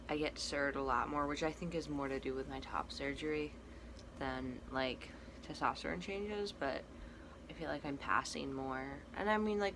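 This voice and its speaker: A young man with a cracky, breaking voice, giving a casual personal update in a halting, soft-spoken way. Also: a little high, vocal fry. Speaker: vlogger. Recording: noticeable background noise.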